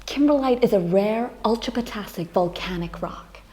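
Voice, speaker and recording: A woman acting a down-to-earth, educated, gently sarcastic flatmate in a sitcom table read. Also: medium pitch, moderate pace, close to an everyday voice. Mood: matter-of-fact.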